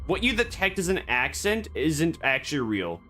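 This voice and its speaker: A man streaming a game, rambling and joking in absurd deadpan banter. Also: a little high, moderate pace, soft background music. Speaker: VTuber streamer. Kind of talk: casual gaming stream chatter.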